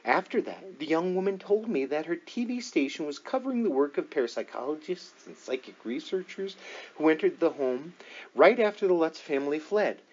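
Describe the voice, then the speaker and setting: A man narrating a book aloud in a deliberate, measured storytelling manner with dramatic emphasis. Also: fairly high pitch, sing-song, muffled recording. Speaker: audiobook reader.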